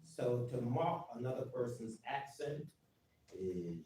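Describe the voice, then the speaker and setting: A man speaking as a council member at a public meeting, deliberate and pointed, with measured pauses. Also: mid-range voice, expressive, mellow, slight background noise. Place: council chamber. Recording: echoey chamber from far off.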